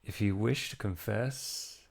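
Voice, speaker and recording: Stern priest and monster hunter played by a man: low, very deliberate pace, defiant, tabletop horror game. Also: gravelly, exaggerated voice. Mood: guarded defiance.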